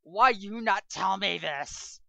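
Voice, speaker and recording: A performer voicing an earnest, excitable boy trainer in an amateur dramatic reading. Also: mid-range voice, slow pace, gravelly, dry recording, voice pushed up, exaggerated voice. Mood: alarmed.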